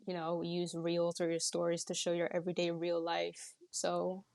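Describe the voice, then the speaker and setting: Low-pitched young woman, even-toned. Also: moderate pace, gravelly, dry recording. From the Netherlands. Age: young adult. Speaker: podcast guest. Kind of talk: casual reflective interview chat.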